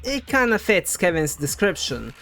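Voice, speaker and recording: A man's voice: sits high, very animated, moderate pace, creaky, bright, forceful tone. A man presenting scripted game theory commentary in a conversational, explanatory way, as the host of a video essay. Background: background music.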